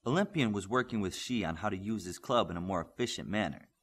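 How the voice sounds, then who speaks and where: Deep-voiced man narrating a superhero novel. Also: lively intonation, fast, husky. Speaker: audiobook narrator. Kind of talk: scripted fiction narration, reading a superhero novel.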